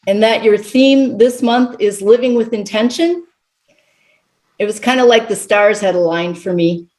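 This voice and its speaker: A middle-aged woman speaks to a congregation as a guest speaker. Her delivery is measured and contemplative, moving through readings and personal reflection in the manner of a thoughtful sermon. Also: on the high side, a little mellow. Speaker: guest speaker at a church service.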